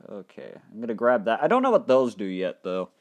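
Young male game host, dry-mouthed and tiring voice, lively swings from muttering to loud outbursts. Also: lowish voice, a little fast. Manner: animated, thinking aloud, jumps into loud excited outbursts. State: dry mouth, voice getting tired. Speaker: gaming channel host. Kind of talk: casual let's play commentary.

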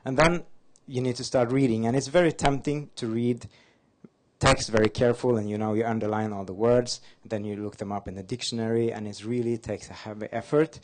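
A man's voice: mid-range voice, fairly even intonation, brisk. Casting: man from Norway, native Norwegian speaker, conference presenter, upbeat and light-hearted. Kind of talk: fast-paced slide talk. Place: conference hall.

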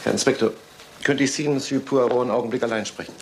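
An actor playing an anxious, earnest, protective young husband in a German radio crime drama. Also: male voice, medium pitch, fairly even intonation, quick pace, background noise, lightly acted. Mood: tense, earnest.

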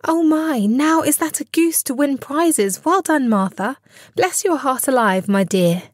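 A man's voice: very high voice, lively intonation, measured pace, no echo, clean studio sound, voice pushed up. A man voicing a fussing, affectionate Victorian mother in an audio storybook, bustling and full of exclamations. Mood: fussing, fond.

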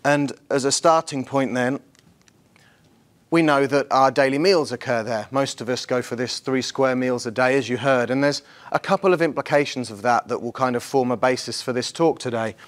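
A middle-aged man with a slightly husky voice, giving an academic conference lecture in an informal, lightly self-deprecating manner. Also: medium pitch, moderate pace. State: slightly husky from the night before. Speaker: university professor, conference speaker. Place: large lecture theatre, on stage before an audience.

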